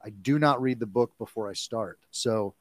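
A man in his forties, a guest actor in a casual chat, talking in an animated, jokey way. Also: lowish voice, dry recording. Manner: animated and jokey, quick to laugh. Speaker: guest, actor and audiobook narrator.

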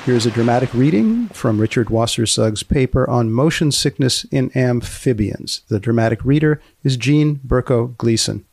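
A man hosting and narrating scripted science material in a measured way, pausing to explain terms. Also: mid-range voice, animated, vocal fry, darkish tone, husky. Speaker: host and presenter.

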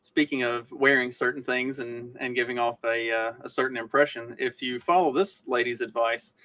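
A man's voice: mid-range voice, fairly even intonation, normal speed, pushed and bright, dry recording, rough recording. Wry male podcaster, close and dry sound. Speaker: podcast co-host. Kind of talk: casual comedic podcast banter.

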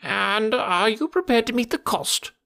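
A man voicing a querulous, twitchy, stingy little old man with a sharp crackling voice in an audiobook. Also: lively intonation, unhurried, gravelly, dry recording, clean studio sound, exaggerated voice. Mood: shrill scolding. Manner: shrill, scolding, demanding. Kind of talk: audiobook character voice.

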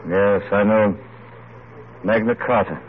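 Earnest radical idealist, muffled radio. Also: male voice, on the low side, steady tone, slow. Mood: earnest, insistent. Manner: intense, declamatory. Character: earnest, impatient, idealistic English radical pamphleteer. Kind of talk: 1940s radio drama acting. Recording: muffled, noisy vintage radio recording.

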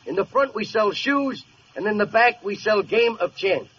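An actor playing a brash, vain, dim-witted gangster boss in a 1940s radio comedy, with tough slang and mangled grammar. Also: male voice, high voice, lively intonation, moderate pace, a bit bright. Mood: brash, scheming. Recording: muffled, faintly noisy old radio recording.

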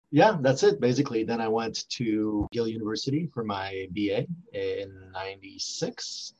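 Low-voiced french-speaking guy in his 40s, lots of uhs and restarts, dull muffled audio. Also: vocal fry. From Montreal, Canada. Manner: hesitant, many fillers and restarts. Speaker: archaeology professor, interview guest. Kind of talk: casual interview talk. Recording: dull lo-fi sound.

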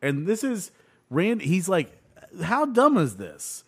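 A man hosting a casual podcast, excitedly retelling TV scenes to his friends. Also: high voice, slow, husky. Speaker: podcast host. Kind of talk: casual chat recapping a show.

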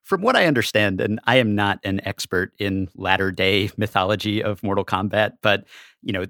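A man talks as a podcast guest, giving casual commentary. His voice is on the low side, and the sound is dry and close. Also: moderate pace, creaky, pushed and bright, no echo.

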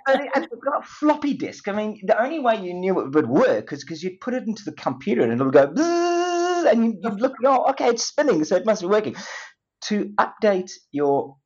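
A man's voice: sits high, sing-song, a little fast, darkish tone. A middle-aged man with a South African accent, a company co-founder chatting as a guest, rambling with many restarts and easy laughs. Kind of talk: casual interview talk. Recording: dull lo-fi sound.

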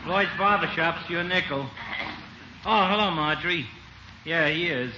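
An actor playing a folksy, skeptical small-town barber in a vintage radio comedy. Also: male voice, a little high, measured pace, lightly acted. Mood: wry. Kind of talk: 1940s-50s radio comedy acting. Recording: dull, hissy old radio recording.

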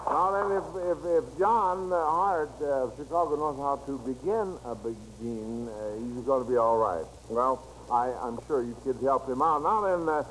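A man's voice: mid-range voice, moderate pace, hardly any pauses. Male American quiz show host for vintage radio, encouraging delivery, faint noise of an old recording. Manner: encouraging, praising the contestants. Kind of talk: 1940s-50s radio quiz show hosting.

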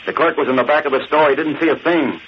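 A wisecracking male reporter from an old radio serial played by an actor, slangy and excitable, talking very fast. The recording is muffled with some hiss. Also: on the high side, natural voice. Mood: wry. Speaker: newspaper reporter character. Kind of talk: 1940s radio acting.

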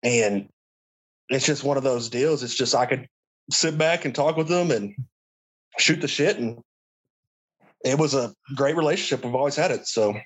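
A man guesting on a podcast, talking in a relaxed, laid-back casual way. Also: mid-range voice, normal speed, pauses often, poor audio. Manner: relaxed, laid-back with fillers like "you know". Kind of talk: casual chat.

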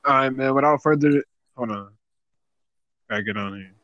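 A man's voice: medium pitch, moderate pace, a bit bright, no echo, dull audio. A man casually hosting a podcast interview, informal and leaning on stock phrases.

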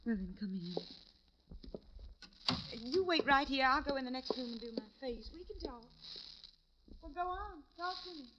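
A woman's voice: high voice, animated, moderate pace, long pauses, a crowd in the background. An actress playing a vain, brittle, self-dramatizing woman scorned in love, in a theatrical old radio crime drama style. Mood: coy, fretful. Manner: coquettish, theatrical, defensive. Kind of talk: 1940s-50s radio crime drama acting. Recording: muffled, noisy old radio recording.

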